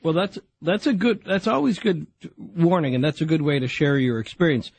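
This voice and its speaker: Husky talk-radio host man. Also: on the high side. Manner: stammering restarts, brisk and guiding. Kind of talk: casual call-in chat.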